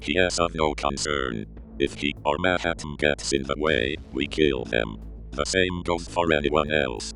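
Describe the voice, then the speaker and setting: A man playing a cold, commanding crime boss in an audio drama, speaking curtly and calmly with authority. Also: very deep voice, unhurried, creaky, faint music behind, full character voice. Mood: cold, menacing. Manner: curt, calm, authoritative. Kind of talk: scripted audio drama roleplay.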